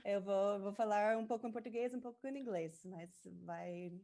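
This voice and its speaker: A woman's voice: mid-range voice, normal speed, warm tone. A woman from the United States with an American accent, a native English speaker leading a live online workshop. She switches between Portuguese and English and often hesitates while searching for words. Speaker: workshop presenter.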